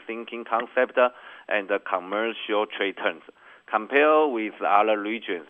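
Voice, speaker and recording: A lowish-voiced man answers business questions in measured, careful English. The sound is thin and narrow, like a phone line. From Taiwan. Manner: careful non-native English, measured and earnest. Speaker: company executive guest.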